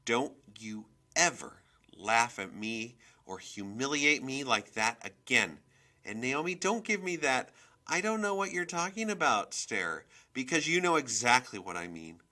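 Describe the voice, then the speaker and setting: A man voicing a volatile, domineering mother in a storybook reading, with a sharp, scolding, emphatic manner. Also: mid-range voice, big pitch swings, unhurried, pitched above the performer's own voice, exaggerated voice. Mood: furious, threatening.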